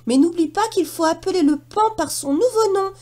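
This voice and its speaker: A woman voicing a frightened, excitable mother-hen character in a children's story, hurried and urgent. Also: extremely high pitch, pitched above the performer's own voice. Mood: insistent. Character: frightened, frantic clucking female hen, anxious to obey.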